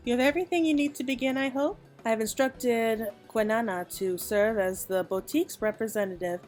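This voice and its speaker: A woman's voice: fairly high pitch, brisk, a little mellow, soft background music, natural voice. A woman voicing a courteous, formal merchant from a fantasy game, with old-fashioned phrasing. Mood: earnest. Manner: formal, gracious.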